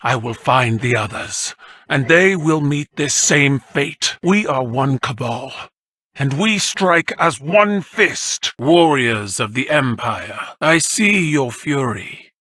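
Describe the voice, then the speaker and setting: Slow, forceful alien warlord. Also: male voice, medium pitch, animated, no echo, heavily acted. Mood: imperious decree. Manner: declamatory, solemn, imperious. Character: proud, commanding alien warlord addressing soldiers. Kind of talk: dramatic video game voice acting.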